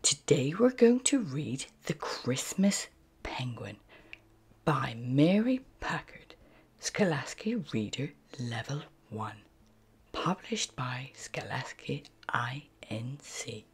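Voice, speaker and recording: A woman reading a children's storybook aloud as narrator, expressive, moving between hushed phrases and emphatic words. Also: extremely low pitch, slow pace, husky. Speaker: storybook narrator and channel host.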